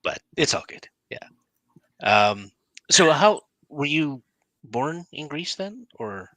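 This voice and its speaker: A man's voice: a little high, very animated, slow pace, pushed and bright, dry recording, rough recording. A Canadian man hosting an interview, asking easygoing questions. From Calgary, Canada. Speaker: host. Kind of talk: casual interview chat.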